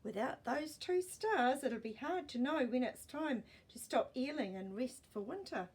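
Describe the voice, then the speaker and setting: A woman voicing a kindly, knowing grandmother in a storybook, explaining things deliberately and expressively. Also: high-pitched, expressive, a little mellow, breathy edge, voice pushed up, heavily acted. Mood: worried. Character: kindly, knowing grandmother telling children about fairy folk.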